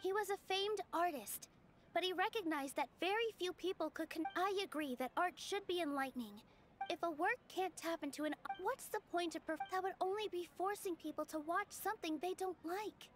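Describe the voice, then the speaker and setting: An actress playing a gentle, earnest young female performer in a video game, speaking politely. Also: extremely high pitch, moderate pace, slight background noise. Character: gentle, earnest young female theater dancer defending her art. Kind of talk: video game dialogue acting.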